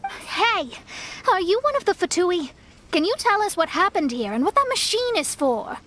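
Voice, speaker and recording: A woman's voice: very high voice, big pitch swings, normal speed, a bit bright, full character voice. An actress playing an imperious young girl with grandiose airs in a video game, haughty and melodramatic. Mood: questioning. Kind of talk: video game cutscene acting.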